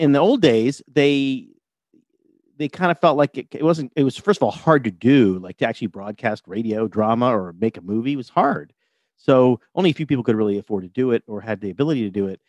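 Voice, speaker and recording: A man's voice: mid-range voice, a little mellow, dry recording. A man, a television writer and producer, chatting as a podcast guest in a wry, talkative way, often repeating words as he starts a thought. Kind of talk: casual interview chat.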